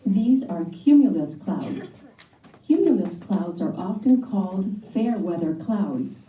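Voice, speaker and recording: Very mellow woman narrator, slow and echoey. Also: mid-range voice, very animated, far from the mic. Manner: measured, clearly scripted explaining. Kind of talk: scripted educational read-aloud for children.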